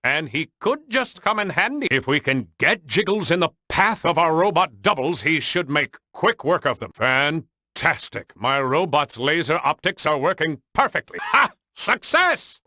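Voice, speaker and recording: A man voicing a brainy, excitable cartoon penguin scientist in a video game, speaking with precise, technical and theatrically earnest delivery. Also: fairly high pitch, no echo, studio quality. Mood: confident planning, then triumphant. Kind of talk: animated cartoon game dialogue.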